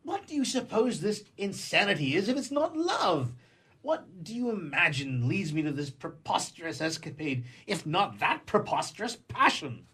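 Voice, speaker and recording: A man plays an exasperated young lover in a 1920s comedy radio play. His husky voice leaps up and down as he blusters, stammers and makes florid, cynical declarations of love. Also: sits high, big pitch swings, normal speed, bright, forceful tone, exaggerated voice. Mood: defensive.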